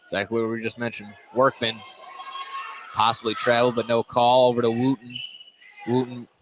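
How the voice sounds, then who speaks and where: A man's voice: medium pitch, completely flat delivery, normal speed, over music, poor audio. A man doing live play-by-play basketball commentary for radio, often restarting and repeating phrases as he goes. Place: indoor basketball gym.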